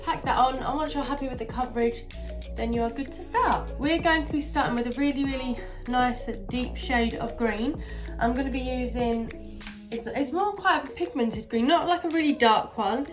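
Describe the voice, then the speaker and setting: Brief: woman vlogger, bunged-up nasal voice, a little high, chatty with music beneath. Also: normal speed. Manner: chatty, many fillers. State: stuffy nose from a cold. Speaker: beauty vlogger. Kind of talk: casual makeup tutorial.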